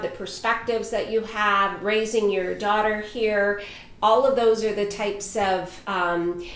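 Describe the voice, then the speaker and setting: Polished talk-show host woman, echoey studio. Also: mid-range voice. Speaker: host and life coach. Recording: echoey room.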